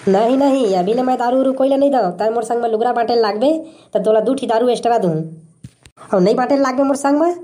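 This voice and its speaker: Woman as a high-voiced, bossy male village candidate. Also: fast, mellow, close to an everyday voice. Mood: boastful, persuading. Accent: Chhattisgarhi. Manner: scolding, blustering, colloquial. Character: brash, bossy male village election candidate bribing voters. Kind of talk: comic cartoon dialogue.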